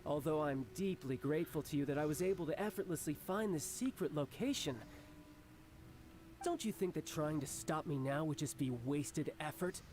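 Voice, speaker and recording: Man playing a cocky, high-voiced young game villain. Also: expressive, normal speed, a little mellow, lightly acted. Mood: smug, eager. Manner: taunting, smooth, theatrical. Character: young cocky battle-hungry villain, smugly polite enforcer. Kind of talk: video game character acting.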